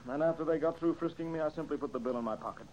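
Guy playing a smart calm american detective, soft warm tone, a bit high, muffled old radio show. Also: very fast, a little hiss or hum, close to an everyday voice. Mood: insistent. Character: composed, clever male amateur detective. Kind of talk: old-time radio mystery acting.

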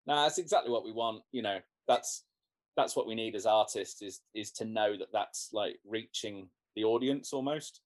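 A man's voice: fairly low pitch, moderate pace. Rambling man musician in an interview. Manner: rambling, many restarts and "you know"s. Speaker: guest musician. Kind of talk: casual interview chat.

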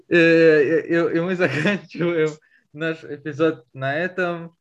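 A man's voice: on the high side, slow. A man co-hosting a podcast, asking questions in casual chat and mixing in English words. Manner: mixes English words into his speech. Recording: dull, lo-fi sound.

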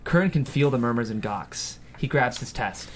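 Guy narrating a script at a table read, mid pitch, really animated. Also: moderate pace, slight background noise. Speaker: screenplay narrator. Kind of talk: reading script stage directions aloud at a table read.

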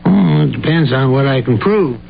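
An actor playing a measured, skeptical lawyer with a questioning, reserved manner in an old-time radio drama. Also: male voice, mid-range voice, expressive, gravelly. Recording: muffled, noisy old radio drama recording.

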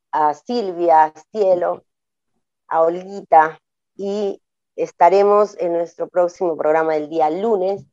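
A woman's voice: on the low side, no echo. A woman hosting a community radio interview program, speaking in a formal, ceremonial way. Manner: warm ceremonial toasting, formal phrasing. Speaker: radio host. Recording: dull lo-fi dry radio audio.

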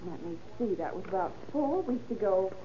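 A woman's voice: medium pitch, lively intonation, moderate pace, mellow, natural voice. An actress playing a fussy, talkative hat shop saleswoman with a rambling, fluttery manner in 1930s radio style. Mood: searching, flustered. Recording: muffled, hissy old radio recording.

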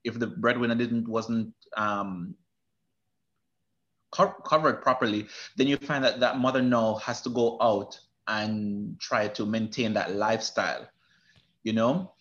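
A man's voice: lowish voice, a little slow, fairly bright tone, lo-fi sound. A man from Jamaica with a Jamaican accent, a guest talking casually in an interview, often restarting his sentences. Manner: hesitant, with many restarts and fillers. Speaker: guest, retirement specialist.

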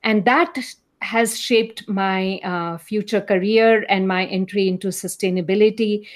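Woman, 50s, Indian accent, academic guest, thoughtful storytelling, mid pitch. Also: steady tone, a little slow. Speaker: guest, associate professor. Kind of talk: conversational interview.

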